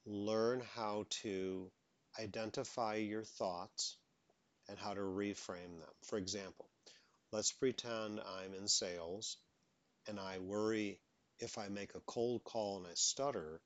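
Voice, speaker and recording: A man's voice: lowish voice, fairly even intonation, slow pace, no echo. A man who stutters openly, giving motivational advice as a stuttering coach. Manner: stutters openly, repeats words.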